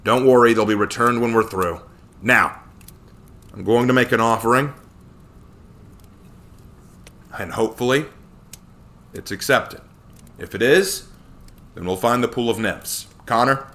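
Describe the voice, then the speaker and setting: A stern man leading others through dangerous wilds in a fantasy audiobook, acted by the narrator. He speaks with curt command and a forceful, bright edge, sounding serious and in charge. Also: medium pitch, moderate pace, pauses often, close to an everyday voice. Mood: firm. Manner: curt, snarling orders. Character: stern, commanding male wilderness guide, curt and reverent.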